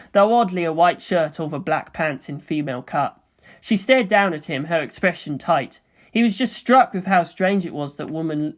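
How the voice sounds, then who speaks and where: A woman reading a story aloud as an audiobook narrator, in an expressive scripted style. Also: fairly low pitch. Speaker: audiobook narrator of fan fiction.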